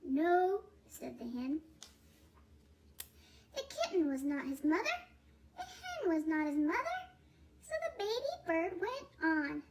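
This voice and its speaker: A woman reading a children's picture book aloud in a playful, expressive way, drawing out words. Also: extremely high pitch, unhurried, pauses often, a little hiss or hum. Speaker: storybook reader.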